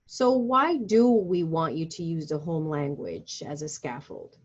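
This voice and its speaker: A woman presenting a teacher-training webinar, reading through her slides in a steady, instructive way. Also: low-pitched, measured pace. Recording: muffled lo-fi webinar audio.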